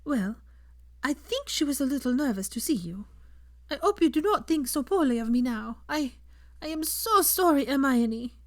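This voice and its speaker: A woman narrator acts out an earnest young married woman weighed down by guilt. The voice is high and very animated, pleading in formal, halting phrases, in a dry storybook reading. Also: dry recording. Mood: pleading, gentle. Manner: halting, formal phrasing without contractions, breaks off mid-sentence.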